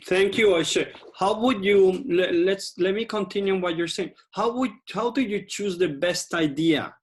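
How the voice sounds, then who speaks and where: Eager podcast host with a higher voice. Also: male voice, normal speed, slight background noise. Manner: enthusiastic, repeats words for emphasis. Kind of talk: podcast interview hosting.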